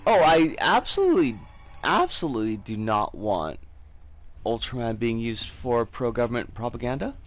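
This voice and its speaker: A man with a scratchy, hoarse voice from an oncoming cold, chatting casually and opinionatedly with some swearing as he streams a game. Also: medium pitch, sing-song, normal speed, soft background music. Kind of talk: casual live game commentary and chat.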